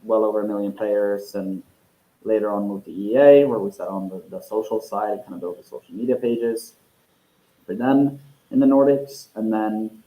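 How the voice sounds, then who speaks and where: A man's voice: fairly low pitch, warm tone. Man on an echoey call. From Norway. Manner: thoughtful, with restarts and drawn-out phrases. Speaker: tech company CEO guest. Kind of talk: casual interview over a call. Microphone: video call.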